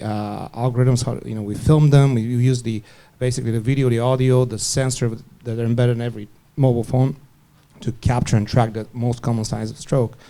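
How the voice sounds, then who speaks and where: A man from Bulgaria, a physician on a panel, telling a story with many halting restarts and fillers. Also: mid-range voice, warm tone.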